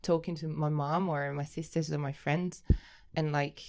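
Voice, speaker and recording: A woman in her late twenties speaks English with an Italian accent. Her voice is low for a woman, with a lot of vocal fry. She talks casually as an interview guest, haltingly rephrasing as she goes. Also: steady tone, a little mellow. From Italy. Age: late 20s.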